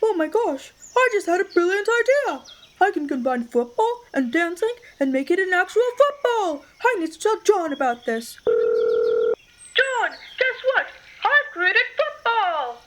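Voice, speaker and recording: A woman voicing an excitable, boastful inventor frog in an audio drama, exclaiming and croaking ribbit. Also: very high-pitched, a little slow, heavily acted. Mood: thrilled, proud.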